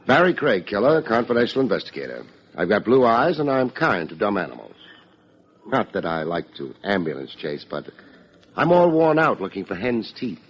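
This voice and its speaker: An actor playing a world-weary, sardonic private detective in an old-time radio drama, delivering wry, deadpan wisecracks and narration. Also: male voice, fairly low pitch, normal speed, husky, exaggerated voice. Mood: wry, bantering. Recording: dull old radio recording, music behind.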